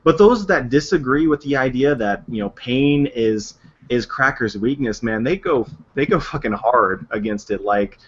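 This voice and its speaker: A man's voice: mid-range voice, normal speed, husky. A man from the United States hosting a casual group chat over an online call, talking in eager bursts with frequent restarts. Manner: animated, talks in bursts with restarts. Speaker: stream host. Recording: dull lo-fi stream audio.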